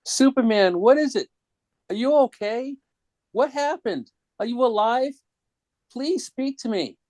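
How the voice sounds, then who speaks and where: An excitable young boy from a comic book story, acted out by a man. The voice is pushed high and swings widely in pitch, with an earnest, exclaiming delivery. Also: measured pace. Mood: alarmed. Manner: pleading, exclaiming.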